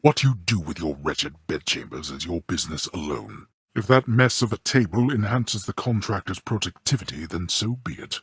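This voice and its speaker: Very deep, husky, imperious underworld god. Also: male voice, animated, fairly bright tone, no echo, clean studio sound, heavily acted. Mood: haughty, dry. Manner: formal, haughty, measured, dryly contemptuous. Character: stern, imperious god-king of the underworld, scornful father. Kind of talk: theatrical video game character dialogue.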